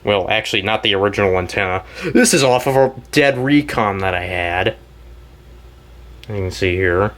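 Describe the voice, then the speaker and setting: A man hosting a casual show-and-tell, talking in a rambling, halting way and often trailing off mid-thought. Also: on the low side, a bit bright. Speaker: video host and weather radio collector.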